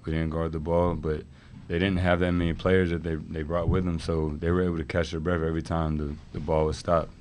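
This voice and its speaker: A man's voice: sits low, little pitch movement, brisk, few pauses, a little mellow. A young man, a college basketball player, giving brief, matter-of-fact answers at a post-game press conference. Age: young adult. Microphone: table mic.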